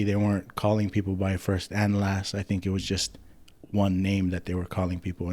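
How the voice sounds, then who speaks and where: A man's voice: lowish voice, warm tone. A man from Hawaii, a podcast guest who owns a clothing company. He tells family history in an easygoing, rambling way, with many ums and restarts. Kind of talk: casual storytelling about family history.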